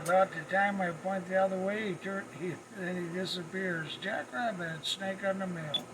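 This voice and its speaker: A man's voice: high-pitched, normal speed, very noisy. A man making folksy, repetitive CB radio calls in slangy radio lingo. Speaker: radio operator making calls to other stations. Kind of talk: CB radio calling chatter.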